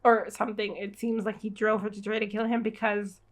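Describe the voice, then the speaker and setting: A woman's voice: mid-range voice, level tone, brisk. A woman giving a casual read-along reaction as host, with informal, wry, sarcastic commentary.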